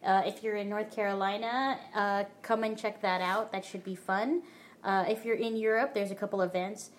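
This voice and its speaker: A woman's voice: mid-range voice, fairly even intonation, moderate pace, a little hiss or hum. A woman hosting a casual podcast, chatty and full of fillers as she reads out listings. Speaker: podcast host. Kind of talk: casual chat.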